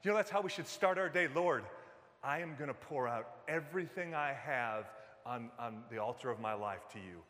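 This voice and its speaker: A man's voice: mid-range voice, animated, normal speed, fairly bright tone. A man from Detroit in his fifties, preaching a sermon in a church in a conversational way, with many fillers and restarts and jokes with the congregation. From Detroit, US Midwest. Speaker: pastor. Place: church sanctuary. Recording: long reverb of a large hall.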